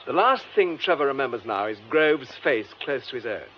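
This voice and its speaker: Man acting english police superintendent laying out a case, very expressive pitch, precise and formal, muffled radio drama sound. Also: a little hiss or hum, lightly acted. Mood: grave, narrating. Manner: measured, formal, precise delivery. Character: composed, methodical male police superintendent explaining a case.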